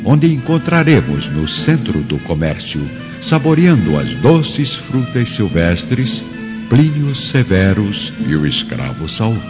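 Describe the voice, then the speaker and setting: A Brazilian man delivers formal, solemn story narration. His voice is very low and carries a long reverb. Music plays clearly behind him. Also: wildly swooping pitch, slow pace, dull audio. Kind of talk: dramatic scripted narration. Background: background music.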